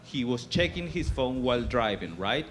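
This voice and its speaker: A man from Argentina doing a stand-up set on a comedy club stage, with a halting delivery full of fillers. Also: medium pitch, very animated, fairly bright tone, a little hiss or hum.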